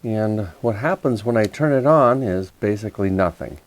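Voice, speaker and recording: Male DIY host, lower-pitched voice, methodical and casual, talking viewers through a hands-on fix. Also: animated, moderate pace, a little hiss or hum. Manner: methodical, step-by-step explaining. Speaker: repair video host. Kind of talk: casual hands-on repair walkthrough.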